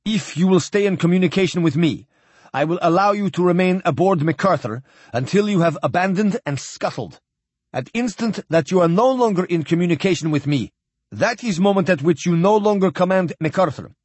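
A man's voice: sits high, expressive, fairly quick, darkish tone, no echo, voice pushed up. A cold, merciless older admiral , put on by a male narrator, barks clipped orders, dropping little words as he goes. His voice sits raised and pressing. The read is close and dry, as in an audiobook booth. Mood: coldly commanding. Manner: clipped, drops articles, demanding.

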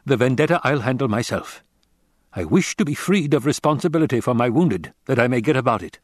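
Man doing a tired guarded old soldier-adviser, clipped tense lines, a bit higher than the narration, dry sound. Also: lively intonation, a little fast, no room sound, lightly acted. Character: weary, guarded older male military adviser. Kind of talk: audiobook character voice.

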